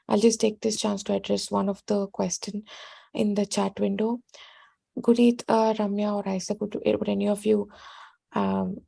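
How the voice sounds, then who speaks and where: A woman's voice: mid-range voice, creaky, warm tone. A woman moderating an online question session, polite and formal, with some fillers. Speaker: webinar moderator.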